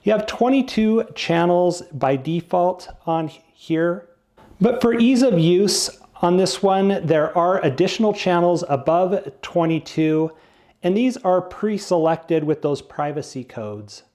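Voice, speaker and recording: Male product reviewer, slightly high voice, very mellow and relaxed tone, casual, plain step-by-step explanations. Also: takes it slowly.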